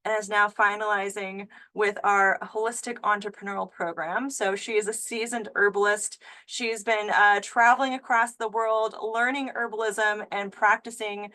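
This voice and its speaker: A woman's voice: mid-range voice, steady tone, moderate pace, hardly any pauses, bright, forceful tone. A woman who directs an herbal school, opening an online class over a video call with an upbeat, friendly manner and frequent fillers. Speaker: school director and webinar host. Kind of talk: webinar introduction.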